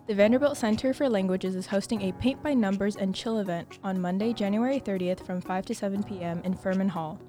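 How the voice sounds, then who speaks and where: A young woman reads campus event listings from a script with an upbeat, steady delivery. Her voice sits at a middle pitch, and soft music plays faintly underneath. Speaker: student radio host.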